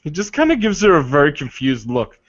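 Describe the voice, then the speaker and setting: A man's voice: very animated, a little fast, fairly bright tone. A man casually narrating his character's actions in a tabletop roleplaying game. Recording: dull, roomy low-fidelity voice recording.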